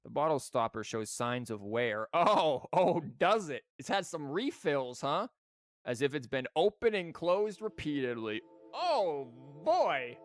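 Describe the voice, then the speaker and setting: A man's voice: a little high, big pitch swings, measured pace, no room sound. A young man streaming a story game live, swearing and making sarcastic jokes at the characters. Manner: profane, sarcastic joking at the game. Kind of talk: live gameplay commentary. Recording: faint game music behind.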